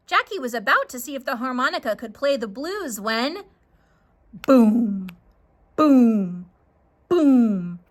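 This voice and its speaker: A woman narrating a scripted children's story in a dramatic, expressive way. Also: high voice.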